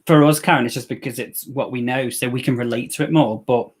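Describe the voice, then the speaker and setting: A man's voice: mid-range voice, steady tone, a little fast, slightly rough. A man with a Scouse accent hosting a live movie watch-along, chatting casually and joking as he answers viewer comments. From England. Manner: jokey, chatty, reacting to the film and reading viewer comments. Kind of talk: casual live-stream chat.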